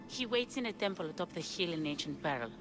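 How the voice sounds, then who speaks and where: A woman's voice: lowish voice, lively intonation, fast, over music, close to an everyday voice, low-quality recording. An actress playing a grieving young woman longing for her lost lover in a video game cutscene, speaking in an earnest, heartfelt way.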